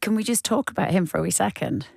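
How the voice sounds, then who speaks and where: A woman with a lowish voice hosts a chatty celebrity interview. She sounds close and dry, recorded in a radio studio. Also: animated, fast, vocal fry, darkish tone, slightly rough, dry recording.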